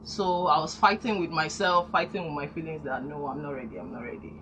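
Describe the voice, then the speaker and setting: A woman's voice: deep voice, fairly quick. A woman from Delta State with a Nigerian accent, a gospel singer speaking earnestly and devoutly as a radio guest. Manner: soft-spoken, earnest, devout. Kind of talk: radio interview. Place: radio studio. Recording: lo-fi broadcast, faint noise.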